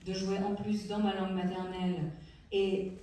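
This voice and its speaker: A woman, an actress, speaking calmly on stage. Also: mid-range voice, normal speed. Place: large cinema hall. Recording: echoey hall, far from mic, noisy.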